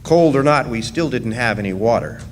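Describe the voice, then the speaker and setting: A man's voice: medium pitch, expressive, normal speed, close to an everyday voice. Man acting a plainspoken old-time miner, noisy recording. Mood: reflective, recounting. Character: plainspoken male copper miner recounting his 1917 deportation. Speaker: actor narrating a radio drama. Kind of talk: first-person radio drama narration and dialogue. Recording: noisy live stage radio play recording.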